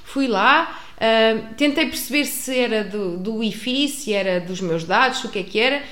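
Lively European Portuguese woman storyteller. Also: fairly high pitch, normal speed. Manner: expressive, acts out dialogue, frequent restarts. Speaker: speaker telling a personal travel story to an audience. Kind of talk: animated storytelling. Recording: roomy echo.

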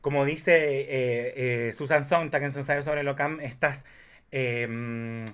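A man is a guest in a casual film chat. His delivery is effusive and campy, at an ordinary middle pitch for a man. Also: steady tone, slow pace, fairly bright tone, clear, resonant tone. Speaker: podcast guest.

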